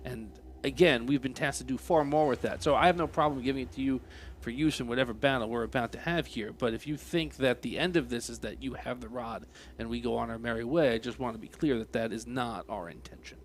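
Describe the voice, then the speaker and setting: A man speaking in character at a tabletop game, earnest and measured, sometimes halting. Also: mid-range voice, slight background noise. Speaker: player.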